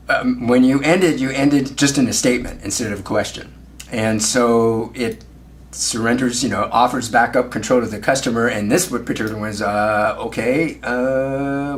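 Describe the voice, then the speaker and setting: A male sales trainer delivering coaching feedback on a call. He speaks instructively at a medium pitch, offers praise and often checks in with short questions. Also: moderate pace, a little hiss or hum. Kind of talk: coaching commentary on a phone call.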